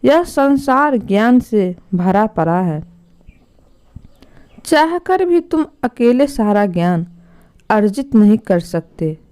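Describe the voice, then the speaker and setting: A woman voicing a wise, patient king who instructs his sons with measured authority in a storybook tale. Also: medium pitch, sing-song, a little mellow, clean tone. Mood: calm, advising.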